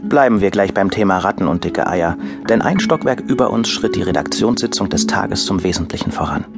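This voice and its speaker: A low, creaky-voiced man as a wry filmmaker narrator. He tells his story with dry irony, in scripted radio play style, with music underneath. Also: lively intonation, fairly quick. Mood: dryly amused.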